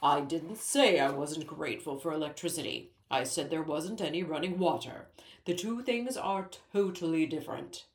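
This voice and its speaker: A woman's voice: low voice, normal speed. A woman voicing a tiny, fussy, pompous male king who speaks in a stern, formal, lecturing way. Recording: roomy echo.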